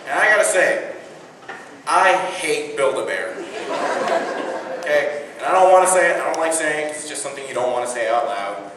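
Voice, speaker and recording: A man's voice: on the high side, moderate pace, long pauses. A teenage boy performing a self-deprecating stand-up routine with punchy delivery. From Indiana. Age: teens. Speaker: stand-up comedian. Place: large indoor venue with audience. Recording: echoey hall from far off with noise.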